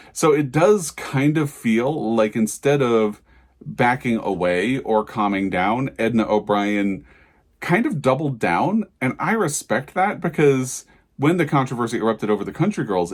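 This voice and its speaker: A man giving a casual book review in a thoughtful, enthusiastic, discursive way. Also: medium pitch.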